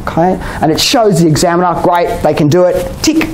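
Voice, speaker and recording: A man with an Australian accent giving a relaxed exam-prep lecture to a class, often checking in with "okay?" and "you know?". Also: high-pitched, fairly quick, a little hiss or hum, rough recording. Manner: chatty, many "okay?" and "you know?" tags, laughs often. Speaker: IELTS teacher.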